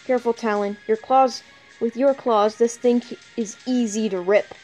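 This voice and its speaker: A woman's voice: a little high, steady tone, moderate pace, a little mellow, soft background music, natural voice. A woman voicing a bold, protective young heroine in a fan story read aloud. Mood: cautious. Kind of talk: fan fiction character voice.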